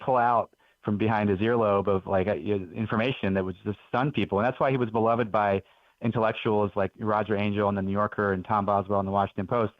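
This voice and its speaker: A man's voice: fairly low pitch, steady tone, brisk, dry recording. A man in his forties, from Belgium, telling stories as a guest author in an earnest, enthusiastic way on a radio interview. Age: 40s. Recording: dull lo-fi radio audio.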